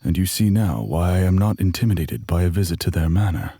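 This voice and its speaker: Male actor as genial fantasy traveling companion, low husky voice, formal wording, worldly, audio drama. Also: lively intonation, dark tone, no room sound, studio quality. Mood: composed. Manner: formal, measured phrasing.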